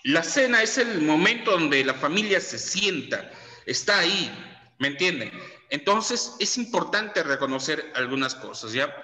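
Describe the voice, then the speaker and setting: A man's voice: on the high side, sing-song, unhurried, pushed and bright. Middle-aged Peruvian male teacher, chatty lecture, reverberant classroom sound. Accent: Peruvian Spanish. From Peru. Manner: chatty, lots of tag questions and fillers. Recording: echoey room with long reverb.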